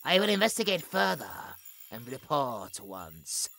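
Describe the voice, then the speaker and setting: A cold, imperious female scientist, played by a woman for a horror audio drama. Her low voice is forceful and crisply theatrical, swinging dramatically in pitch. Faint music plays behind her clinical delivery. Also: big pitch swings, a little slow, exaggerated voice. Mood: intrigued, scheming. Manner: theatrical, clinical log narration with dramatic emphasis.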